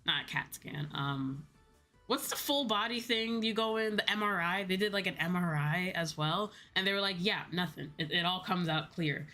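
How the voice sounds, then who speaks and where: American girl streamer, bright voice on the lower side, rambly casual chat, music in the back. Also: normal speed. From United States. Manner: animated storytelling, acting out reported dialogue. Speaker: VTuber livestreamer. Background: background music.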